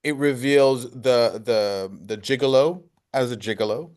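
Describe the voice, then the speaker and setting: A man's voice: mid-range voice, measured pace, darkish tone, clear, resonant tone. A man who is a filmmaker, answering interview questions conversationally and explaining his ideas with rhetorical questions.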